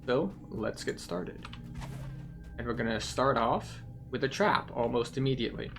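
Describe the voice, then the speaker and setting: A man's voice: medium pitch, a little slow, fairly bright tone. Male game tour guide, explanatory and methodical, music under the voice. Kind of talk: playthrough commentary.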